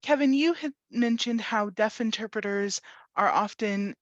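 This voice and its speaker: A woman moderating a panel discussion, polite and clear as she puts questions to panelists. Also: on the high side, measured pace, dry recording, muffled recording.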